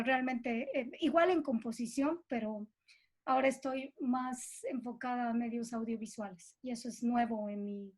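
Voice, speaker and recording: Female interviewee, Bolivian accent from Cochabamba, fairly high voice, informal reflective delivery. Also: darkish tone. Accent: Bolivian Spanish from Cochabamba. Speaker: interviewee, composer and musician. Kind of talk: casual reflective interview talk.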